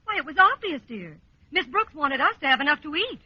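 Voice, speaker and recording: Genteel American sitcom mother, high, lively and reassuring, dulled vintage radio sound. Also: fairly quick. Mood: soothing. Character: soothing, cheerful wife and mother. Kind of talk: 1940s radio sitcom acting. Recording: dull vintage radio recording.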